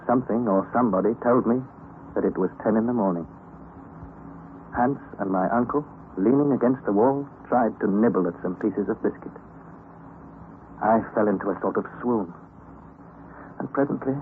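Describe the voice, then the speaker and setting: A man's voice: mid-range voice, normal speed, long pauses, lightly acted. An actor playing a young man who narrates his exhausting underground expedition in an old radio drama, earnest and dramatic. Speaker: narrator and nephew. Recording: tinny old radio recording, faint hiss.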